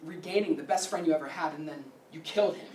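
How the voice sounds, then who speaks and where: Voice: mid-range voice, fast, a little mellow, lightly acted. A performer in a staged play reading playing a blunt, confrontational mental hospital staffer who lectures firmly. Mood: stern, lecturing. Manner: lecturing, firm. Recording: echoey room, distant mic, faint noise.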